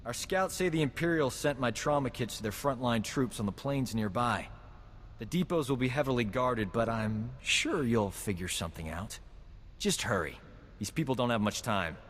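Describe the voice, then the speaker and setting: A man's voice: medium pitch, expressive, normal speed, a bit bright, big-room sound, slight background noise, close to an everyday voice. A man playing a wisecracking, dedicated rebel field doctor in a video game, with a wry, flippant manner. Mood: urgent. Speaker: Resistance field doctor character. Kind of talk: video game cutscene acting.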